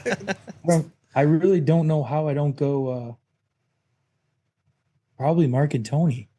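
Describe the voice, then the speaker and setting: Offhand man with a mellow voice. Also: a little high, unhurried. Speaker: podcast guest. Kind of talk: casual chat. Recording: faint background noise, clicks.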